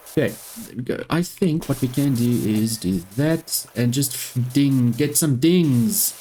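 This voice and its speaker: Man, Afrikaans speaker, unhurried, mid pitch, casual game commentary. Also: a little hiss or hum. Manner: relaxed, talking to himself while playing. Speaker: gaming YouTuber.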